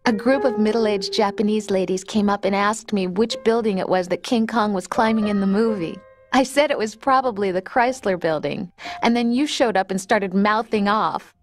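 A woman's voice: mid-range voice, normal speed, natural voice. A woman voicing an affectionate, wistful young girlfriend in a video game conversation.

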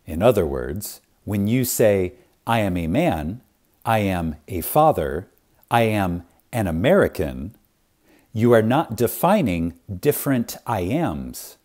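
A man narrating a spiritual audiobook in a reverent, deliberate manner, with dramatic pauses and strong emphasis. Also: on the low side, sing-song, darkish tone. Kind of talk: scripted audiobook reading of a spiritual text.

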